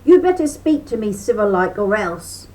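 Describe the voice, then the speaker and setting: An older woman voicing a cheeky, quick-tempered male trickster rabbit in a high, shrill voice. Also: expressive, darkish tone, poor audio. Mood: angry.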